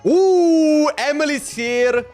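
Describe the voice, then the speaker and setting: A man in his twenties with a Slovenian accent and a high voice. He chats casually as a game livestreamer, rambling and breaking into exclamations. Faint music plays behind him. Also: takes it slowly, darkish tone. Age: 20s.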